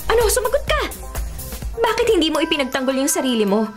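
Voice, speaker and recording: An actress playing a feisty, expressive young Filipino college woman, a mayor's bratty daughter, in a radio drama. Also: high voice, fast talker. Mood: scolding, exasperated. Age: young adult. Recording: loud music under the voice.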